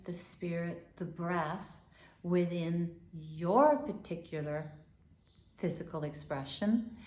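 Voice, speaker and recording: Gentle woman. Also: lowish voice, echoey room. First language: Danish. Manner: gentle and unhurried, with soft repeated guiding phrases. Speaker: healing and meditation teacher. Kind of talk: guided body-awareness talk.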